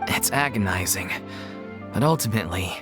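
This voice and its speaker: A man playing an earnest, worried theater troupe member in a video game, serious and measured. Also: deep voice, animated. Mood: sorrowful. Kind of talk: animated video game voice acting. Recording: music behind the voice.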